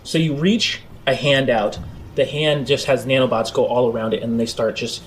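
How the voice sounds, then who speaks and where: A man's voice: medium pitch, fairly even intonation, moderate pace, low-quality recording. A man improvising the narration of a tabletop role-playing game as game master. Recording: clear background noise.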